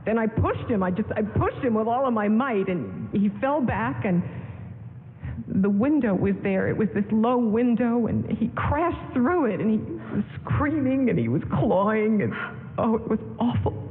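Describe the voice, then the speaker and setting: An actress playing a gentle, wistful young woman in a halting, confiding 1940s radio drama style. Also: fairly high pitch, animated, natural voice. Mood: haunted, pained. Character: gentle, wistful young woman who served prison time. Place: live stage in a town hall. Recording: echoey hall, thin lo-fi radio broadcast.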